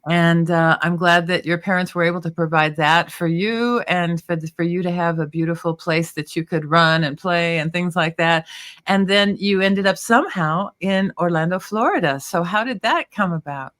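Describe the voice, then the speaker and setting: Woman host, effusive and lively, leading an interview. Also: on the low side, moderate pace. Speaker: show host. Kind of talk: interview hosting.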